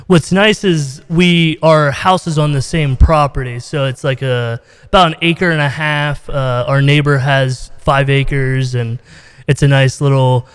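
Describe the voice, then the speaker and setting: A man's voice: mid-range voice, steady tone, unhurried, smooth. An American man hosting a casual interview, talking in a rambling, informal way full of "you know.". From United States, Ohio. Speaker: podcast host, interviewer. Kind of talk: casual video-call interview. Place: indoors, reverberant room on a video call. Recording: roomy, long reverb.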